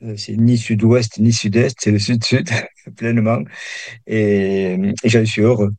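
A man's voice: lowish voice, little pitch movement, unhurried, darkish tone, no echo. A man in his sixties with a southern French accent, a meditation teacher speaking as a guest in a radio interview, hesitant with many restarts. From Perpignan, southern France. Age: 60s.

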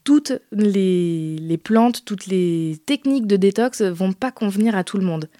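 A woman's voice: medium pitch, animated, a little slow, mellow, dry recording. Reassuring woman, dry close sound. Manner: friendly, reassuring, explains patiently. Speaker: naturopath guest. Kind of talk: casual explanatory chat.

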